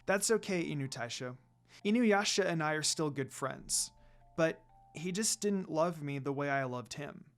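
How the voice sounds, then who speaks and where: A man's voice: a little high, animated, a little slow, soft background music. A man voicing a curious, kind young priestess with an earnest, questioning manner in a fan fiction reading. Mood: friendly, earnest.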